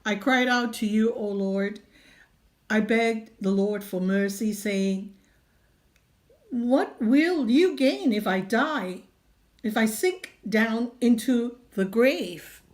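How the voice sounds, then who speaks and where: A woman reading scripture aloud in a measured, earnest way. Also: on the high side.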